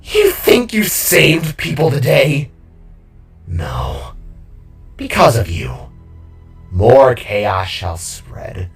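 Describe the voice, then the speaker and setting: A man voicing a defeated villain king in an audio drama, bitter and gleefully unhinged, with a groggy, drawn-out, rambling delivery. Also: medium pitch, wildly swooping pitch, recorded from a distance, heavily acted. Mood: gleeful, menacing. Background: music.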